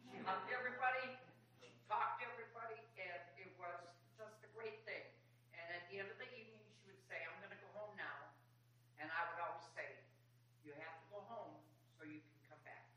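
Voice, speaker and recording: A bartender speaks plainly at a memorial, pausing now and then. The voice is bright, heard from far off in a very echoey room with faint noise and hum behind. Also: mid-range voice. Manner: plain, conversational, with pauses. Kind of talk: memorial tribute. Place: church memorial service. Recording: echoey distant room mic.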